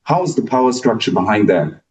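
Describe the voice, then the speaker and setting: A man's voice: on the low side, animated, fairly quick, reverberant, recorded from a distance, rough recording. A man in the audience asking a thoughtful, hesitant question after a lecture.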